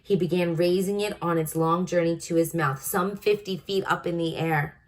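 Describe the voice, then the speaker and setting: A woman reading a children's book aloud as an expressive storyteller. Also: fairly low pitch, normal speed, slight background noise, poor audio.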